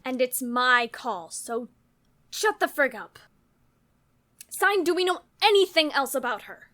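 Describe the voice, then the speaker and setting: A woman's voice: sits high, sing-song, measured pace, pushed and bright, heavily acted. An actress playing a brash, bossy young female crew leader with a blunt, commanding manner in an audio drama. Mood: brisk, commanding.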